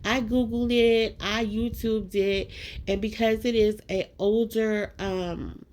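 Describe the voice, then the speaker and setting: A woman's voice: on the high side, steady tone, slow, a little mellow. A woman with a nasal, allergy-stuffed voice, chatting casually through a voice-over about her craft project, with lots of ums and likes. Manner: chatty and self-deprecating, many ums and likes, addresses viewers as y'all and guys. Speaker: craft vlogger.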